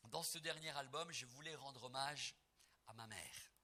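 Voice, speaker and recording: A middle-aged man from Portugal preaches between songs at a gospel concert. His voice is very bright and ringing, lifting almost into song on key lines and softening when he shares memories. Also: medium pitch, moderate pace. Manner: emphatic, rising to near-singing on key phrases; soft and reflective when telling family memories.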